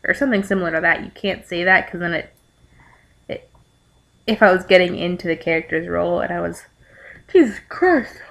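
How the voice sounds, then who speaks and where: A young adult woman reading aloud and commenting casually, in a halting, rambling way with exasperated asides. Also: on the low side, fairly even intonation, vocal fry, fairly bright tone. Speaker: amateur vlogger reading fan fiction aloud. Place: living room at home.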